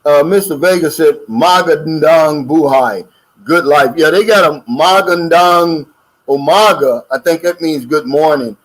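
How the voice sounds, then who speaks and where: A man's voice: a little high, measured pace, low-quality recording. An older American man co-hosting a casual livestream chat and reading out viewer comments in a folksy, drawling way. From United States. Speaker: co-host of a livestream.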